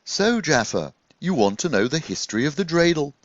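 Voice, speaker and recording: A man voicing a kind, wise, soft-spoken rabbi in a children's storybook, with a teacherly manner. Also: fairly high pitch, sing-song, fairly quick, no echo, highs cut off, pitched above the performer's own voice. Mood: kindly, assured.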